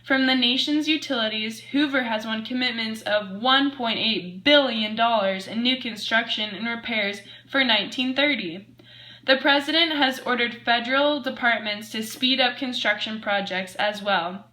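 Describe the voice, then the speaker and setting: A woman's voice: on the high side, moderate pace, sharp tone, poor audio. A woman playing an earnest news anchor in a scripted amateur 1920s radio broadcast.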